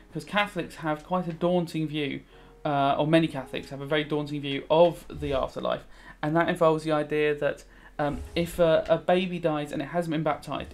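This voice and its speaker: A British man in his thirties with a fairly high voice talks casually as a teacher. He rambles and thinks aloud, moving between explaining a subject and musing over a video game. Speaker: religious studies teacher. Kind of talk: casual revision commentary while playing a video game.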